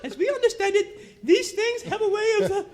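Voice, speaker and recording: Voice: very high-pitched, a little fast, noisy background. A performer playing a prim, genteel, gushing lady in a live comedy radio show, with a fluttery, proper manner. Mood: prim, pleased.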